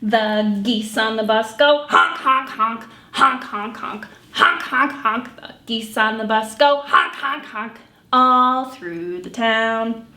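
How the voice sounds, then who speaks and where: A woman hosting a children's story time, reading and singing picture books in an animated way and acting out the sounds. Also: a little high, slow pace, echoey room. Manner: animated, acts out animal sounds and song refrains. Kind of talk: sung picture-book read-along for children.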